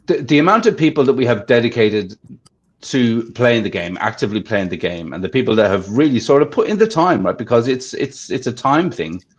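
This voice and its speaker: A man's voice: medium pitch, animated, a little fast, slightly rough. A man hosting a casual discussion, chatty, often checking for agreement. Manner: chatty, asks for agreement with "right?". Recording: dull lo-fi audio.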